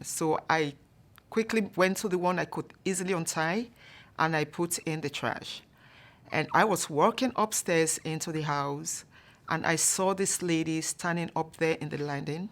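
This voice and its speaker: Low-voiced woman from Cameroon telling a story. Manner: calm, measured, many "you know" fillers. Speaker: staff member telling a personal story. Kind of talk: storytelling to an audience.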